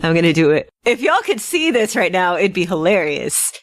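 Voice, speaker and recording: A woman's voice: on the low side, very animated, moderate pace, no room sound. A woman co-hosting a podcast, chatting casually in a playful, self-mocking way. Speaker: podcast co-host. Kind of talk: casual, joking chat.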